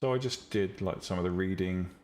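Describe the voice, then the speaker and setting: Man, very low pitch, calm relaxing voice, casual live-stream chat, opinionated. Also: fairly quick. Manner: blunt, opinionated, frequent ums and restarts. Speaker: streamer teaching 3D rendering and visual novel making.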